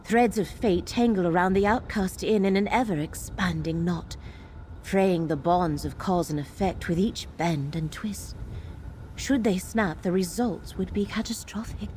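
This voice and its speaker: A woman plays a grave mystical seer from a fantasy game, her voice on the low side. She speaks in solemn, measured, formal phrases with a commanding, portentous weight. There is clear noise behind her. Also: darkish tone, lightly acted. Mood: grave, urgent. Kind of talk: scripted fantasy video game dialogue.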